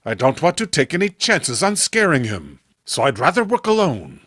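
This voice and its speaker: A man's voice: fairly high pitch, huge pitch swings, normal speed, a bit bright, no echo, heavily acted. Earnest, determined police lieutenant, voiced by a man, horror comic drama, dry close sound.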